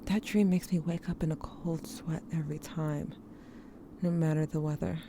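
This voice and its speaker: A woman playing a haunted, grieving diarist in an audio drama, narrating in a measured, brooding and intimate way. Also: sits low, flat delivery, background noise. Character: haunted, grieving diarist recounting dreams to a lost sibling. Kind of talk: scripted first-person audio drama narration.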